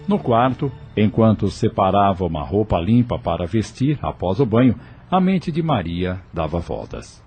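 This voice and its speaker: A man's voice: lowish voice, big pitch swings, normal speed, darkish tone, faint music behind. A man with a Brazilian accent narrating a scripted audio novel as its storyteller.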